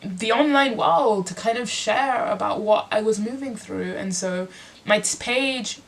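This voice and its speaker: A woman's voice: mid-range voice, a little slow, creaky. Young woman talking straight to camera, bit blocked up and sniffly, says "like" loads, thoughtful and open. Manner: reflective, heavy use of "like" fillers. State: congested, sniffly. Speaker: musician and performer. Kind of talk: candid personal vlog.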